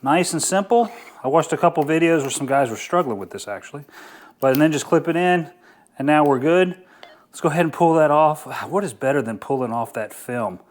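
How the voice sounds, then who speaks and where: A man with a somewhat higher-pitched voice gives a casual product review. He talks in an informal, easygoing way, thinking aloud as he handles an unboxing. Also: lively intonation, fairly quick.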